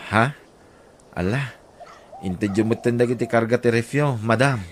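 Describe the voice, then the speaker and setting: A man's voice: mid-range voice, moderate pace, a little hiss or hum, lightly acted. An actor with an Ilocano accent playing a humble, hardworking middle-aged father in a radio drama. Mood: humble, grateful. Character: humble, hardworking poor father and laundry worker.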